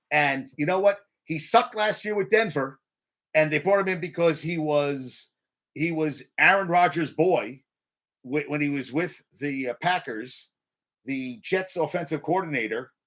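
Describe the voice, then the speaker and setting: A man hosting a casual sports talk show, ranting in a heated, profane, rambling way with frequent restarts and shout-outs to viewers in chat. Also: sits high. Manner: addresses viewers in live chat by name.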